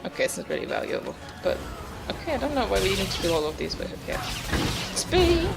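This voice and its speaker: A woman giving casual, low-key commentary on her own gameplay, musing drily as she goes. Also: big pitch swings, fast, creaky. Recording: loud game music behind the voice. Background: game music.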